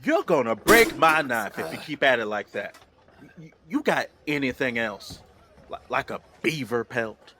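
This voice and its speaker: A man playing a gruff, impatient frontier trader in an audio drama, curt and unimpressed. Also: medium pitch, sing-song, a little slow, noisy background. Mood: dismissive.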